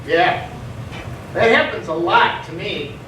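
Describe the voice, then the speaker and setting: A man's voice: sits high, slow, bright, forceful tone, full character voice. An older man playing a mild, rambling, unassuming Irish vampire time traveler in live radio theatre. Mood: affable, easygoing. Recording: very echoey hall, distant mic, faint noise.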